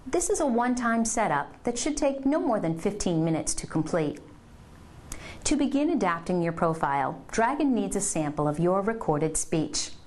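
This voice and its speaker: A woman reading scripted instructional narration in a measured, step-by-step way, as a presenter of a product tutorial. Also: mid-range voice, big pitch swings, darkish tone.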